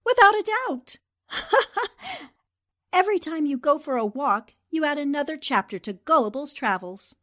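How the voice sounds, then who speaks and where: Sharp lady columnist, played by a woman, 1940s radio drama: highish, very lively pitch, theatrical, knowing, teasing. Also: unhurried. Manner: theatrical, condescending endearments. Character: shrewd, worldly, teasing newspaperwoman columnist.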